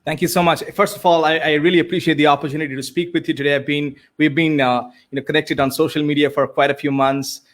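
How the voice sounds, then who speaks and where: A man with an Indian accent whose first language is Bengali, telling his life story as a guest with earnest warmth and frequent "you know" fillers. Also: a little high, flat delivery, fast talker, few pauses. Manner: earnest, many fillers and repeated starts. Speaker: guest speaker and coach. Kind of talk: conversational storytelling.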